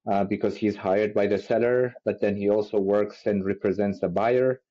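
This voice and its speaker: Guy, amharic first language, calm steady explaining, dark warm voice, kinda dull lo-fi sound. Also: on the low side. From Ethiopian-Persian family, raised in the Middle East. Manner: measured, earnest explaining. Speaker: real estate agent, podcast guest. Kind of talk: casual interview answers. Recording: dull lo-fi audio.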